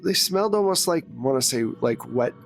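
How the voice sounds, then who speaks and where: A man's voice: fairly high pitch, animated, fairly quick, faint music behind. A man playing a rattled, helpful morgue worker in a tabletop game, hesitant and stammering. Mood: uneasy.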